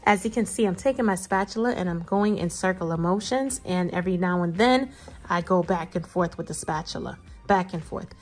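A woman's voice: lowish voice, fairly quick, soft background music. A woman narrating a recipe casually as a cooking host, chatty and playful, talking straight to viewers.

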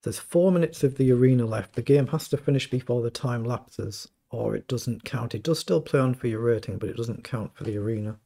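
Croaky man muttering through a chess game. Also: mid-range voice, brisk, hardly any pauses, mellow. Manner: thinking aloud, muttering over moves. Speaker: chess streamer. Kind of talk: live game commentary.

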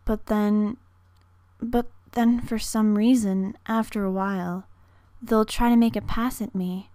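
A woman playing a gentle, struggling mother in an amateur anime dub audition, tender, apologetic and wistful. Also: mid-range voice, steady tone, unhurried, dry recording, clean studio sound, lightly acted. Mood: weary, apologetic.

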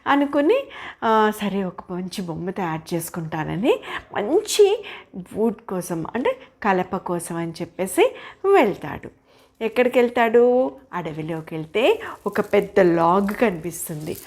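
Animated older woman telling children a story. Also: mid-range voice, measured pace, pauses often. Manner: expressive, explanatory, asks listeners questions. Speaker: storyteller grandmother.

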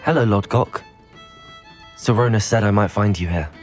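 Man as a lowish, determined young wizard. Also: normal speed, soft background music, lightly acted. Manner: polite, composed. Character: earnest, determined teenage male wizard student. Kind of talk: video game dialogue.

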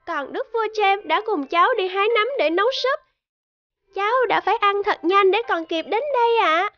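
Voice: very high voice, moderate pace, no room sound, muffled recording. An actor voicing a cheerful, imaginative little girl playing a princess in a cartoon, polite and eager as she tells her tales. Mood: earnest, proud. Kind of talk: animated cartoon dialogue.

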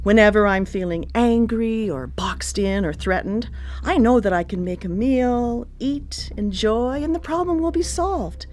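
An actress in a radio play playing a controlling, composed cannibal cook who dotes menacingly on family. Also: female voice, a little high, unhurried, a little mellow, lightly acted. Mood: coy, menacing. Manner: composed, coy, domineering. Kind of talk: live radio play acting.

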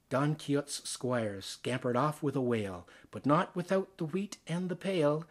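A Canadian man performs poetry with theatrical flair. His voice sits a little high for a man and moves through a lively range of pitch. Each phrase is placed deliberately, as an actor would in a dramatic reading. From Ontario, Canada.

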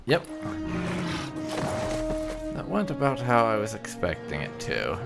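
A man with a lowish voice hosts a game playthrough, swinging widely in pitch as he comments. He is casual, dry and sardonic, taking his time with his words. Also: wildly swooping pitch, slow pace, creaky, over loud music. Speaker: gaming video host.

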